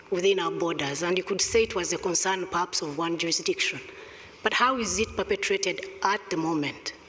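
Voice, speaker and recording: A deliberate, emphatic panel speaker from Rwanda who drives each point home by repeating it. The voice sits at a middle pitch. It rings with the long reverb of a conference hall. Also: a bit bright, slight background noise. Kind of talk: panel discussion answer.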